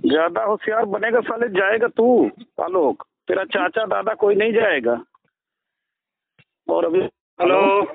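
A man's voice: high voice, measured pace, vocal fry, slight background noise. A man on a phone call threatening and hectoring the listener, posing as a police officer. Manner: intimidating, bullying, hectoring. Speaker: phone scammer posing as a crime branch officer. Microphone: telephone line. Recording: tinny recorded phone call, band-limited.

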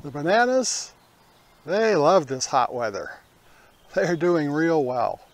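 An older man in his sixties with a slightly high-set voice. He talks casually as the host of a garden video, in a folksy, unhurried manner, recorded outdoors in a backyard. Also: big pitch swings. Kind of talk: casual garden tour talk.

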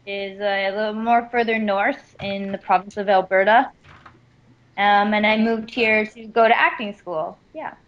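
A woman's voice: medium pitch, steady tone, measured pace. A woman from Canada, a voice actress guest, chatting casually in an interview with a rambling, self-deprecating manner over an online call. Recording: muffled lo-fi call audio.

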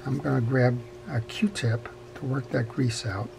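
A man's voice: mid-range voice, steady tone, measured pace, vocal fry, background noise. A middle-aged man giving an unscripted hands-on repair tutorial in a methodical, plain-spoken way at an indoor workbench. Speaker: fishing reel repair instructor.

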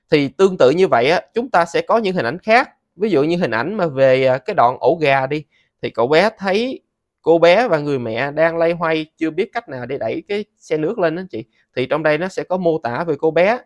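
Tutorial host: man, thirties, Southern Vietnamese accent, slightly high voice, dry close sound, conversational step-by-step delivery. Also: no echo. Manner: conversational, frequent sentence-ending particles addressing viewers. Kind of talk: casual step-by-step screen tutorial.

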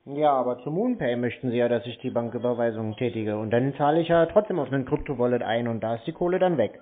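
A man with a medium-pitched voice talks as a customer over a narrow telephone connection. He is sceptical and persistent, pushing back in a matter-of-fact way. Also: quick pace. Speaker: customer on a phone call. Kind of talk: sceptical phone conversation. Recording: narrow, tinny phone line.

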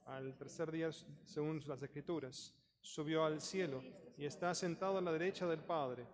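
A man, a priest, leading a liturgical recitation in a large church. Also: fairly high pitch. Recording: reverberant church hall.

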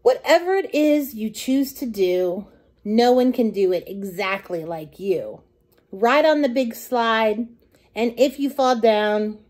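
A middle-aged woman reads a children's story aloud. Her voice is fairly high and very lively in pitch. She takes her time, shaping lines in a deliberate, sing-song storytime delivery. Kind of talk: expressive picture-book reading aloud.

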